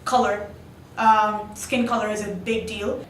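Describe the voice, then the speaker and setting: A woman's voice: mid-range voice, little pitch movement, measured pace. A young woman from India giving articulate, reflective answers as a student in an interview. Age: young adult. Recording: roomy, distant mic, faint noise.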